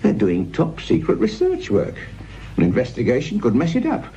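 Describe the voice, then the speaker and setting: An actor playing a cynical, world-weary older British police sergeant near retirement, in a naturalistic radio drama. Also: male voice, medium pitch, huge pitch swings, moderate pace, warm tone. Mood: wry, bitter. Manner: sardonic, world-weary, paternal to his junior. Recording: muffled radio recording with noise.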